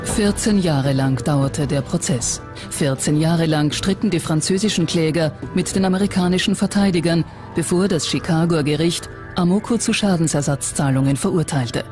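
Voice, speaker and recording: Documentary narrator, mid-pitched voice, scripted read with music clearly underneath. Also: moderate pace.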